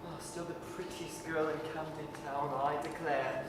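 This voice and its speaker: An actor playing a gentle, doting, cheerful family father in a radio-style staged reading. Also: medium pitch, little pitch movement, moderate pace, lightly acted. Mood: affectionate. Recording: very echoey hall, distant, noisy hiss.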